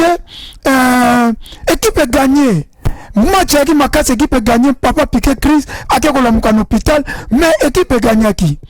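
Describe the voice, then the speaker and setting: A man's voice: very high-pitched, no room sound. A young man from Congo with a Congolese accent, mixing French and Lingala as he hosts a rallying talk show monologue.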